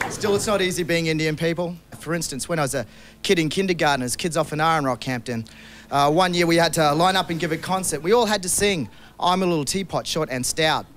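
A man's voice: fairly high pitch, fast talker, a bit bright, slight background noise. A young man from South Africa doing a stand-up routine on a TV stage with a handheld microphone, delivering dry one-liners with small hesitations. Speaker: stand-up comedian. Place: TV studio stage with live audience.

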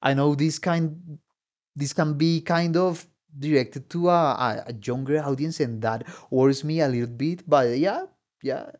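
A man's voice: a little high, unhurried, darkish tone, dull audio. A man in his thirties giving casual reaction commentary as a musician. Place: small home music room. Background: game trailer music.

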